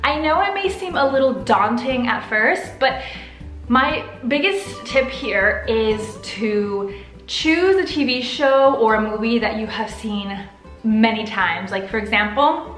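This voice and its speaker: A young American woman vlogging to camera from her living room, upbeat, expressive and chatty. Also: on the high side, slow, roomy sound, soft background music. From United States. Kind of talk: casual to-camera vlog. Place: living room with sofa, indoors.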